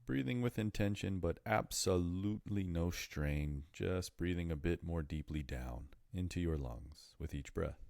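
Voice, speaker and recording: Meditation guide, male: very deep and mellow, soothing and deliberate in delivery, unhurried pauses between phrases. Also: gravelly, dry recording, clean studio sound.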